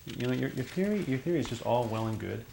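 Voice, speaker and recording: Evasive male murder suspect, mid-pitched, halting, defiant, naturalistic film delivery. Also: expressive, creaky, dark tone, slight background noise, rough recording. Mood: doubting, insistent. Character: defensive, evasive murdered man's son under interrogation. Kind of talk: naturalistic film drama acting.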